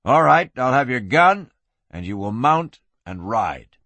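Stern Western lawman, voiced by a male narrator: cold, curt, commanding, mid-pitched, recorded dry. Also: sing-song, measured pace, bright, forceful tone, no echo, lo-fi sound, full character voice. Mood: firm.

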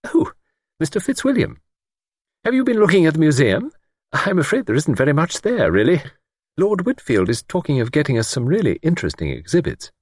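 A man reading an audiobook voices a fussy, anxious middle-aged spinster in a high, breathless manner. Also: huge pitch swings, a little fast, slightly rough, dry recording, clean studio sound, exaggerated voice. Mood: eager.

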